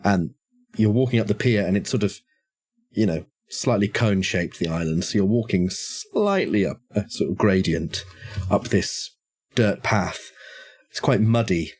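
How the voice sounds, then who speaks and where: Brit GM, lowish husky voice, stop-start storytelling. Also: male voice, lively intonation, measured pace, darkish tone. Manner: hesitant, many restarts and fillers. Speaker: game master. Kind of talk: narrating a tabletop horror game.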